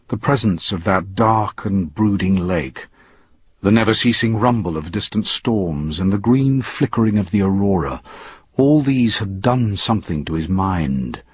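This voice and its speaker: A man with a husky voice narrating a scripted story in a dramatic reading style. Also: low voice, no echo. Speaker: audiobook narrator.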